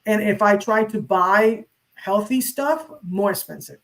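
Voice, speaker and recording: Female interview guest, medium-pitched voice, emphatic and direct, conversational delivery. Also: a little slow, fairly bright tone. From San Juan, Puerto Rico. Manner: emphatic, opinionated, direct to the listener. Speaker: interview guest, social media crypto educator.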